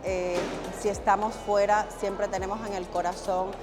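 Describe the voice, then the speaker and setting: A Cuban woman speaks in a flat, even tone, pausing often on fillers as she thinks. Music is clearly heard behind her. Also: mid-range voice. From Cuba. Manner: thoughtful, hesitant fillers. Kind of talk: documentary interview.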